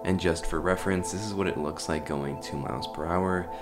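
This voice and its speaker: A man's voice: sits low, brisk. A man hosting a horror explainer video, giving scripted commentary with casual asides to his viewers. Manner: addresses viewers informally. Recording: music behind the voice.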